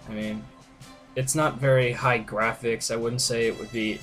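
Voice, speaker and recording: A man's voice: medium pitch, a bit bright. Man rambling casually over game music. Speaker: host of a game playthrough video. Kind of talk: casual gaming commentary.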